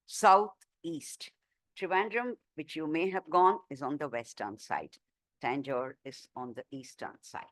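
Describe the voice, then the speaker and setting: A woman author giving a book talk and answering questions, chatty and animated, with many fillers and restarts. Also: low-pitched, no echo. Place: talk room.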